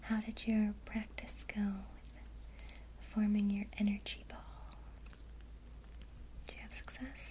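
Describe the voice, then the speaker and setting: A woman teaching a witchcraft lesson in a soft, unvoiced ASMR whisper, guiding the listener gently and speaking straight to them. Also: mid-range voice, monotone, low-quality recording. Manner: slow, soothing, intimate, addresses the listener directly. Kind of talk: whispered ASMR guided visualization.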